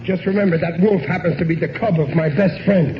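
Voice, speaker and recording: A man's voice: high-pitched, fairly quick, over loud music, natural voice. An American actor playing a sentimental, blustering, dim working-class family man with ungrammatical speech in a radio sitcom. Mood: indignant. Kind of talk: 1940s radio sitcom acting. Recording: noisy, muffled vintage radio broadcast.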